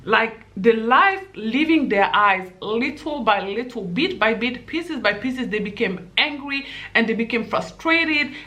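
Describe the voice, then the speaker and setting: A woman's voice: medium pitch, fairly bright tone. A young woman with a Nigerian accent, giving impassioned, pleading commentary to camera as a vlogger.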